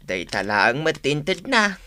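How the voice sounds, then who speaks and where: A man's voice: a little high, sing-song, slow, bright, forceful tone, full character voice. A man with an Ilocano accent playing a humble, plodding older farm labourer in a radio comedy drama.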